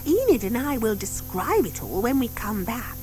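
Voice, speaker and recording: A woman narrator performs a sensible, blunt schoolgirl from a witch storybook. The voice is high and very animated, with an indignant, no-nonsense edge. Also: moderate pace, soft background music. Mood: exasperated.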